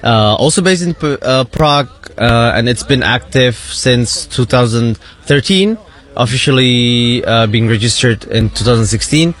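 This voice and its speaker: A man's voice: medium pitch, lively intonation, moderate pace, a bit bright. A man representing a nonprofit, explaining its community work steadily at a festival booth. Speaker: NGO representative. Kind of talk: interview answers.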